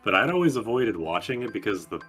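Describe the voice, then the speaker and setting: A man's voice: on the low side, sing-song, fast talker, fairly bright tone. A man doing casual, joking game commentary with crude, sweary language. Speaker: gaming stream co-commentator. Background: game music.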